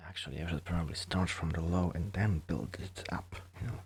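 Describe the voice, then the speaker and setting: A man who speaks English as a second language, chatting softly and intimately as in an ASMR role-play, hesitant and self-deprecating, often slipping toward a whisper. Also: sits low, fairly quick, music in the background. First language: not English, a second-language speaker. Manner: soft-spoken, often dropping into whispers, hesitant. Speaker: ASMR performer playing a musician friend.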